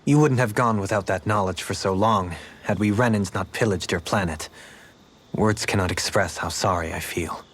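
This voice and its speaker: A man's voice: on the low side, normal speed, a bit bright, breathy edge. A man playing a cultured, gracious nobleman who speaks formally and eloquently, in video game dialogue. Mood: remorseful. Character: cultured, courtly nobleman, gracious, reflective, music-loving. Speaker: video game party member. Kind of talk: English-language video game character dialogue.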